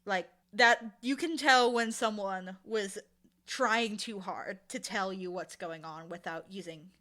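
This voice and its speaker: A woman talking casually and with opinions as an interview guest, animated and acting out quotes to make her points. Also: mid-range voice, slow pace. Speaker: audio drama showrunner, interview guest.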